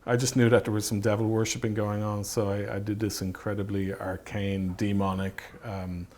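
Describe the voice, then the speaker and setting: Guy with kinda low voice talking about making his movies, interview style, halting, bit of noise in the back. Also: little pitch movement, a little mellow. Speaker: film director and effects artist being interviewed. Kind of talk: interview, reminiscing about filmmaking.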